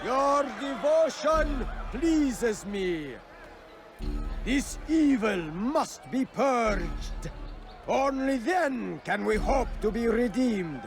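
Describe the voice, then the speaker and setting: A cruel medieval zealot in a video game, with a high-pitched voice. He declaims grandly and draws his words out slowly, over loud music. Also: animated, gravelly, crowd noise, exaggerated voice. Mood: thunderous, fanatical. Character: zealous, cruel crusade-era tyrant preaching to a crowd. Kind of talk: video game dramatic acting, oratory.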